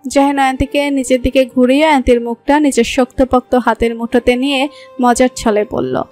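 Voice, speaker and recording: A woman narrating a romantic story in a smooth, even storytelling voice. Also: sits high, a little fast. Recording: soft background music.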